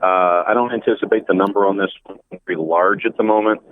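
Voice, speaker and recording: Low-voiced man on a dull phone line. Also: fairly even intonation, quick pace. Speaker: sports commentator on the show. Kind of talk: casual sports chat with predictions. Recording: dull phone call with faint noise.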